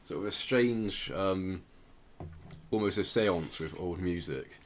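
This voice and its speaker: A man hosting a podcast, informally explaining music history in a halting way as he searches for words. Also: low voice, a little hiss or hum. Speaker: podcast host.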